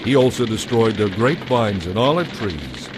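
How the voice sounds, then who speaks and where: A man narrating a dramatized scripture audiobook in a measured, solemn storytelling manner. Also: lowish voice, sing-song, noisy background.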